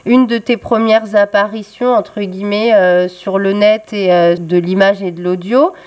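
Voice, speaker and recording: A woman's voice: medium pitch, fairly even intonation, unhurried, a little mellow. A young woman hosting a radio interview, speaking hesitantly with many filler sounds. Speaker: radio host.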